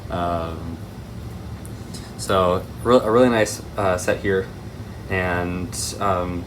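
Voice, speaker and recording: Low-voiced man, casual and rambling. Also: fairly even intonation, drawn-out words, a little hiss or hum, poor audio. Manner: rambling, tangent-prone. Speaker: hobby video host. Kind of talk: casual unboxing commentary.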